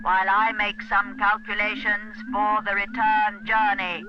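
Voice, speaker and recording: Woman as a slow, booming talking machine. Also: level tone, muffled recording, noisy background, close to an everyday voice. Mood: booming, formal.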